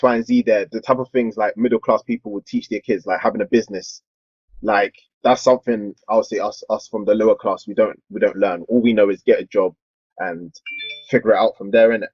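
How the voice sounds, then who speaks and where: A man's voice: fairly low pitch, breathy edge, no echo. A young man who explains his ideas at length in casual podcast chat, stammering as he starts. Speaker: podcast co-host. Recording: dull lo-fi dry sound.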